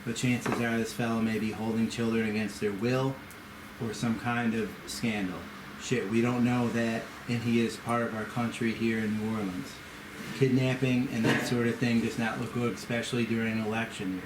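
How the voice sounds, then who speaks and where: A man's voice: mid-range voice, flat delivery, moderate pace, echoey room, background noise, lightly acted. A man playing a courteous, folksy elderly Southern office boss with a drawl in a screenplay table read. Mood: earnest, hushed dealings.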